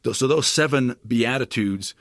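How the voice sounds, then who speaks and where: A man's voice: medium pitch, moderate pace, dry recording, clean studio sound. A man on a podcast, explaining scripture eagerly and often checking in with "right?" as he builds his argument. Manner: enthusiastic, lecturing with many asides and "right?" tags. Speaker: podcast guest, scripture scholar.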